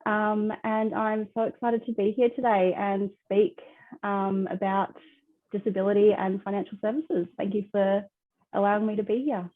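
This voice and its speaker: A woman's voice: medium pitch, steady tone, moderate pace. Woman, recovering from a cold, tinny call audio. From Australia. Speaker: accountant and financial coach, panelist. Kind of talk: video call panel introduction. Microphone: wireless earbuds on a video call.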